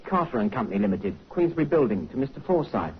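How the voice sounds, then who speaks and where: A man's voice: medium pitch, big pitch swings, quick pace, lightly acted. An actor playing a shifty, whining petty male crook in an old radio drama, defensive and aggrieved. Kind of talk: 1950s radio acting. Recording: noisy muffled vintage radio recording.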